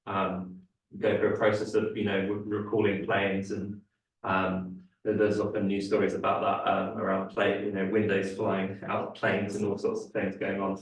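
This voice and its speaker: A man's voice: sits low, completely flat delivery, normal speed. A young man giving a seminar talk, hesitant with many fillers and restarts, losing his voice a little. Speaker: guest speaker. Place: lecture room. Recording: echoey room, speaker far from mic.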